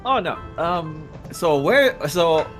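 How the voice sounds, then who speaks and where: A man's voice: a little high, sing-song, slow, a bit bright. A man chatting casually, with a hesitant delivery that often stops and repeats words. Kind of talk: casual chat. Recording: music playing underneath.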